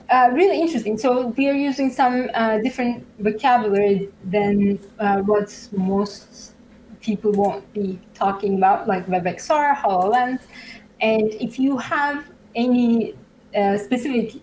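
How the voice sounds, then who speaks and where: A woman whose first language is Turkish, casually demoing software as a guest, with many fillers and frequent small laughs. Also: medium pitch, slight background noise, low-quality recording. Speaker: guest developer advocate. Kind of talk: casual tech demo chat.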